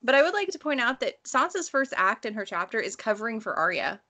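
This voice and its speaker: A woman talking casually and bluntly in an informal book discussion, telling stories in an animated way. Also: fairly high pitch, fast talker. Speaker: book read-along panelist. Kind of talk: casual discussion, personal anecdotes.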